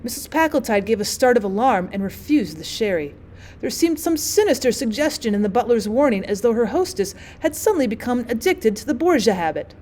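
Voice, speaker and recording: Female audiobook narrator, teacher reading literature aloud, voice on the high side. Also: sing-song, a little fast, a little mellow, slight background noise.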